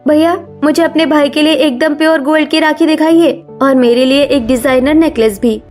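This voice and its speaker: A woman performs a high-voiced, haughty young daughter-in-law in a cartoon melodrama. She snaps and whines defensively, sounding spoiled and entitled, with faint music behind. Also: fairly even intonation, normal speed, natural voice. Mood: breezy. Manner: snappish, whining, defensive. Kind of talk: Hindi cartoon family melodrama.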